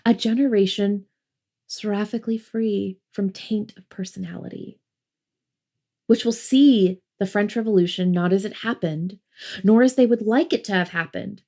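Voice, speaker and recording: A woman's voice: medium pitch, gravelly, warm tone. A woman playing a dogmatic, dismissive mother in an audiobook drama, with a lofty, precise, declamatory manner. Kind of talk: dramatic audiobook dialogue and oratory.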